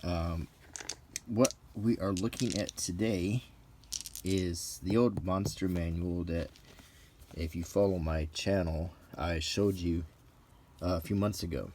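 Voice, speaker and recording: Middle-aged male, low-pitched, casual unscripted ASMR-like delivery, musing and pausing, noticeable background noise. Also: fairly even intonation, darkish tone. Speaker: channel host. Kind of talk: unscripted casual book comparison, unintentional ASMR.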